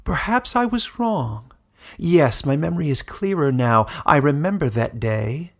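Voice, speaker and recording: A man's voice: a little high, expressive, a little slow, pitched above the performer's own voice. A man voicing a cruel, mocking woman ruler in a fantasy audiobook, haughty and theatrical in delivery. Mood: gloating. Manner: scornful, sneering, theatrical. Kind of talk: dramatic fantasy audiobook character reading.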